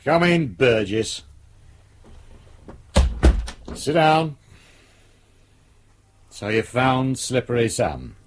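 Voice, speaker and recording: An actor playing a cold, condescending British police superintendent, an older boss who scolds, in a radio drama. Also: male voice, mid-range voice, lively intonation, takes it slowly, slight background noise. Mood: dry, probing.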